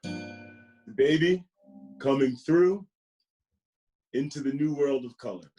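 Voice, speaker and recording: Slow-paced man reading a bedtime picture book. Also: mid-range voice, dark tone, lo-fi sound, faint music behind. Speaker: storybook reader. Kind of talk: expressive read-aloud of a children's picture book with asides to the listener.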